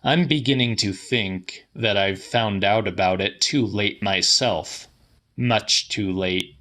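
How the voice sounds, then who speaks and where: A man giving a dramatic first-person audiobook reading of literary fiction, with deliberate, emphatic, theatrical delivery. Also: fairly low pitch, a bit bright. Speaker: audiobook narrator.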